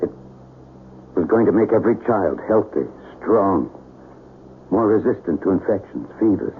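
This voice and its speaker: Anguished chemist on tinny noisy radio. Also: male voice, low voice, lively intonation. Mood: bitter remorse. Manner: agitated, halting, self-reproaching. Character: anguished, guilt-ridden chemist in his forties. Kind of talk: 1970s radio drama acting. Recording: tinny, band-limited, noisy old radio recording.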